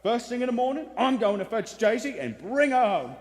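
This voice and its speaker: An actor playing a cheerful, chatty husband in a live radio play, with a jovial and colloquial manner. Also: male voice, very high voice, very animated, brisk. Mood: joyful. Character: cheerful, chatty working-class husband of the landlady. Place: theatre stage before a live audience. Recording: echoey theatre stage, faint noise.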